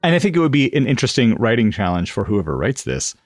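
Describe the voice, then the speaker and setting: A man's voice: mid-range voice, big pitch swings, fast, no room sound, studio quality. A man co-hosting a podcast, talking casually and reflectively as he works through ideas, often tentatively. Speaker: podcast co-host. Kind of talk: casual brainstorming discussion.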